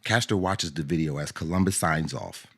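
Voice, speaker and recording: A man reading scripted radio drama narration in a measured, explanatory way. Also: rumbling low, heavy background noise. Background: music.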